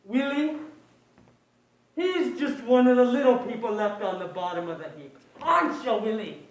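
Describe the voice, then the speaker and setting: A woman's voice: on the high side, lively intonation, normal speed, natural voice. Woman acting a cocky noir gangster, very echoey. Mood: callous, smug. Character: cocky, callous crooked gangster in a noir crime story. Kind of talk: 1940s noir stage acting. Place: indoor stage, distant microphone. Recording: very echoey stage, far from microphone.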